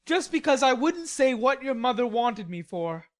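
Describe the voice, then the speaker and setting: A woman reading an audiobook, voicing a stubborn, hot-tempered young gentleman in indignant outbursts. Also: fairly high pitch, very animated, normal speed, voice pushed up. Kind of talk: audiobook character voice.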